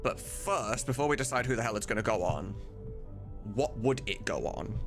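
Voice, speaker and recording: Animated man thinking aloud, music behind. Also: lowish voice, normal speed. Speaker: game mod voice director and streamer. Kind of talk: casual livestream commentary, thinking aloud. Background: music in the background.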